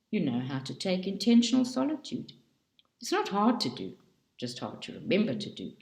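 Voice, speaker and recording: A woman reading a book aloud for a study group, slowly and carefully, stopping often to correct herself. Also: sits low, darkish tone, echoey room. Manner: slow, careful reading with frequent self-corrections.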